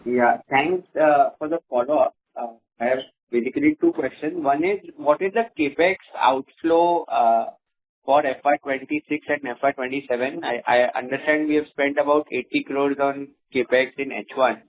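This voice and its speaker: A man's voice: medium pitch, moderate pace. Male caller, faintly noisy line. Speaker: caller, investor or analyst. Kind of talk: question on earnings call.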